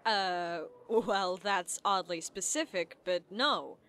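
A woman's voice: mid-range voice, takes it slowly, fairly bright tone. A woman playing a skeptical, plain-spoken park ranger in an audio drama, with a dry, folksy manner. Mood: dry, guarded.

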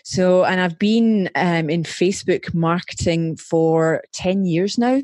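This is a Scottish woman in her thirties, talking as a guest on a podcast. She sounds upbeat and chatty, and she laughs easily. Her voice sits a little low and is recorded dry and close. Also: takes it slowly, darkish tone, dry recording. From Scotland. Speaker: Facebook ads strategist, podcast guest. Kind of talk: casual interview chat.

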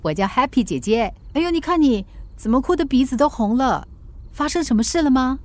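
A woman's voice: high voice, expressive, a little mellow. A woman playing a kind but stubborn young delivery worker in a radio drama. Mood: gentle, coaxing. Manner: coaxing and earnest, quick to snap back.

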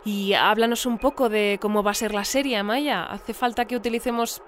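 An actress playing a composed, formal presenter of a news bulletin in an audio drama. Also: female voice, mid-range voice, steady tone, brisk, a little hiss or hum, close to an everyday voice. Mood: composed, informative. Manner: composed, formal, clearly scripted.

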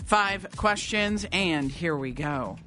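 A woman's voice: lowish voice, lively intonation, slow, a bit bright. A woman hosting a radio morning show, bantering through a live quiz. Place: radio studio. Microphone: close studio microphone. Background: music bed.

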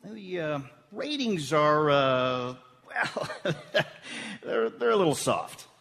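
A man's voice: on the high side, sing-song, slow, vocal fry, fairly bright tone, exaggerated voice. A man playing an awkward, apologetic radio station boss, stammering politely in a comedic radio play. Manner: hesitant, stammering corporate politeness. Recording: echoey theatre hall.

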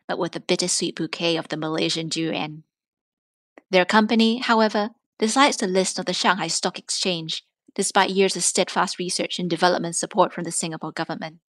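Low-voiced woman reading prose, dry recording. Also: fairly bright tone, dry recording. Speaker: reader of prose and poetry. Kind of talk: scripted literary reading.

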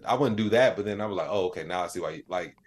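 A man's voice: sits low, racing, husky. A man in his late thirties speaking casually and colloquially as an interview guest, explaining things in plain, conversational terms. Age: late 30s. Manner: colloquial, plain-spoken, acts out little imagined dialogues. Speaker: interview guest, AI educator and comedian.

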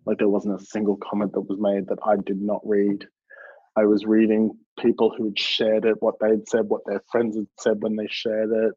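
A man's voice: fairly low pitch, completely flat delivery, normal speed, mellow, slightly rough, no room sound. Earnest young Australian man, dry lo-fi. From Melbourne, Australia. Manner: earnest, reflective, with frequent fillers. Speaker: guest, drag performer. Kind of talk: casual podcast interview.

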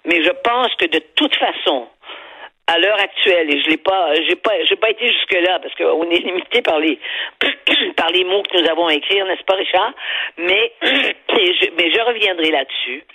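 Husky, forceful older Quebec woman commentator. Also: on the low side, no echo, poor audio. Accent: Quebec French. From Quebec, Canada. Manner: emphatic, halting, digressive, rhetorical asides. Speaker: columnist and commentator on a talk show. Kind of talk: opinionated radio commentary in conversation.

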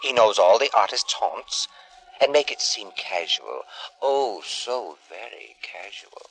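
An actor playing an insinuating, falsely sympathetic devilish tempter with an oily, theatrical delivery in a 1950s radio drama. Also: male voice, fairly low pitch, animated, takes it slowly, a bit bright, poor audio. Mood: urgent, sly.

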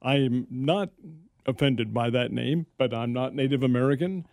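Gravelly-voiced man talking sports. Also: medium pitch, unhurried, a little mellow, dry recording, studio quality. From Irish heritage. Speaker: sports talk show co-host. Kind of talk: casual sports radio chat.

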